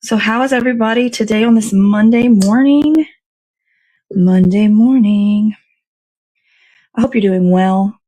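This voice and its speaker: A woman's voice: mid-range voice, measured pace, pauses often, dark tone, poor audio. A woman hosting a morning show in a casual, rambling, chatty way, thinking aloud as she works with her hands. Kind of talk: casual live chat while assembling a dessert.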